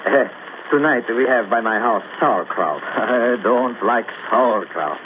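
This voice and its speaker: Actor as a attendant, tinny old radio. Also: male voice, mid-range voice, slow pace, lightly acted. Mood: meek. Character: humble foreign bathhouse attendant, evasive and defensive. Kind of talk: 1940s radio acting. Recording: tinny old radio recording, faint noise.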